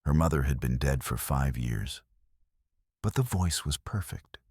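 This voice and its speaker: A man reading scripted horror stories as a narrator, with a measured, ominous delivery. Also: very deep voice, expressive, darkish tone, breathy edge, no echo, clean studio sound.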